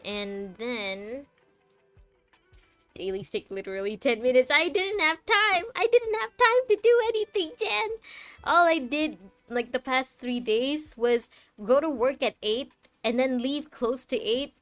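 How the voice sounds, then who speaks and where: Young woman, game streamer, high-pitched voice, chatty and animated, faint music playing underneath. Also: measured pace, no room sound. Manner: chatty and animated, laughs often, greets chat by name. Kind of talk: casual live-stream chat.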